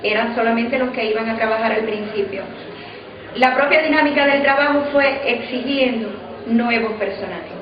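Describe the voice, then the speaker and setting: Higher-voiced Cuban woman in echoey interview. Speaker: children's radio scriptwriter. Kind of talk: measured documentary interview. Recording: muffled, echoey, with crowd sounds.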